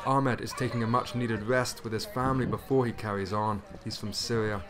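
A man reporting from a refugee camp near a border, narrating and interviewing in a measured, serious tone. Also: on the low side, fairly even intonation.

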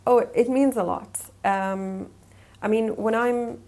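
A woman's voice: on the high side, fairly even intonation, takes it slowly, a little mellow. A woman from Jerusalem answering personally in an interview, reflective and hesitant in her phrasing. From born in Jerusalem, Palestinian, later emigrated.

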